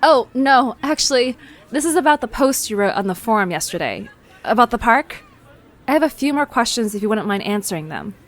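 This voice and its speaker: A woman playing a bold, nosy young amateur journalist in an audio drama, with a brisk, confident, playful delivery. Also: on the high side, very animated. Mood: eager. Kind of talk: conversational audio drama acting.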